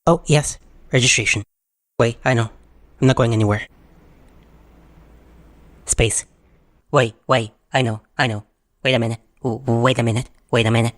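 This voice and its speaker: A man voicing an excitable robot in a video game parody, babbling in a disjointed, repetitive way. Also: medium pitch, moderate pace, pauses often, dry recording, close to an everyday voice. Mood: excited babbling. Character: excitable, babbling male robot sphere speaking nonsense.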